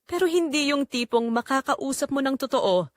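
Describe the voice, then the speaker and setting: A female narrator reads as a bashful Filipino working man in a romance audio story. He is reserved and awkward, with an expressive pitch and a dry, close sound. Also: normal speed, no room sound. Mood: modest, reserved.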